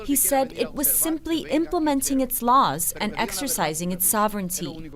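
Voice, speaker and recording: A woman's voice: a little high, normal speed, a crowd in the background. A woman reading scripted news documentary narration in the manner of a reporter.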